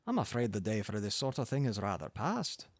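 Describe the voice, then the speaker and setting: A man's voice: lowish voice, big pitch swings, a little fast, dry recording. A man voicing a kindly, wry old newspaper editor with a Scottish accent in an audiobook story. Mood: dry, discouraging.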